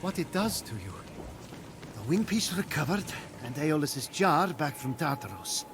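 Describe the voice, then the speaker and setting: An actor playing an earnest, wide-eyed young mortal hero in a video game, sincere and expressive. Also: male voice, on the high side, unhurried, noisy background. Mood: solemn, reflective. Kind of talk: dramatic video game character acting.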